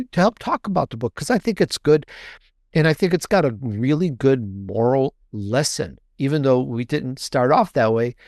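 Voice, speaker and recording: A man's voice: medium pitch, darkish tone, no echo, clean studio sound. Chatty, rambling man in casual conversation. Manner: chatty, rambling, repeats and restarts phrases. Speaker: podcast guest, author and podcaster. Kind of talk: casual, conversational interview answer.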